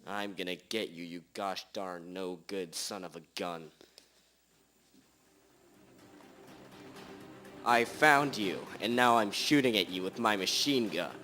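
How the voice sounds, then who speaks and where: A man's voice: low voice, moderate pace, few pauses, sharp tone. A young man playing a hard-boiled but bumbling detective in an amateur radio drama, with a theatrical, declarative delivery. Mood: menacing. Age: teenager or young adult. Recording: amateur radio recording with music behind.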